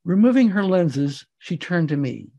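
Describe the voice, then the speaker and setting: A man giving an expressive, wry and theatrical narration of comic fiction, as an author reading his own work. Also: high voice, normal speed, lo-fi sound.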